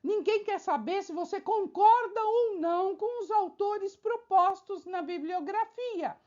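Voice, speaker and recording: A woman's voice: extremely high pitch, unhurried, mellow, lo-fi sound. A woman teacher giving an exam-prep video lesson, explaining step by step with examples and frequent check-in questions to the viewer.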